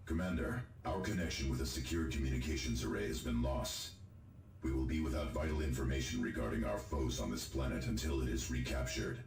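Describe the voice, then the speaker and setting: An actor playing a formal military adviser, giving a measured mission briefing in a video game. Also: male voice, extremely low pitch, gravelly. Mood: grave. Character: formal military adviser reporting to his commander. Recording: reverberant game audio under music.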